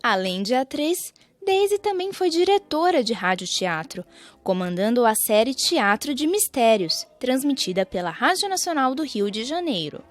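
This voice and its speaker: A woman's voice: sits high, sing-song, normal speed. A young Brazilian woman reading scripted narration for a radio documentary. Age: young adult.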